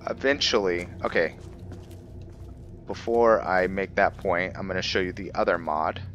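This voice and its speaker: Male gameplay host, low but forceful, explains casually and haltingly, faint game ambience behind. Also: highs cut off, a little hiss or hum. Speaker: gaming video host. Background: video game ambience.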